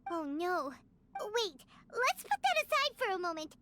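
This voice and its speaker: A woman voicing a tiny, excitable female fairy companion in a video game, squeaky and fretful. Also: unhurried, very noisy, exaggerated voice. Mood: anxious. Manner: squeaky, shrill, exclaiming.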